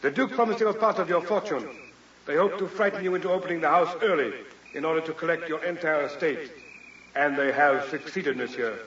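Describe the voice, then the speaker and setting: Actor playing a theatrical scheming French duke, echoey old radio. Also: male voice, a little high, brisk, a bit bright, highs cut off, lightly acted. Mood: accusing. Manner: theatrical, formal. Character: scheming French duke posing as a police inspector, vengeful. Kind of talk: old radio melodrama acting. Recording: distant, echoey, hissy vintage radio recording.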